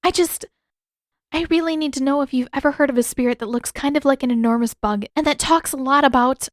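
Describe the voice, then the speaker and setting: A woman narrator plays a teenage girl in a fantasy story, protective and quick to argue. She speaks up high in an insistent, blurting way, very close and dry. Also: no room sound, lightly acted. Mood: flustered. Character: urgent, defensive teenage girl, protective of her friend.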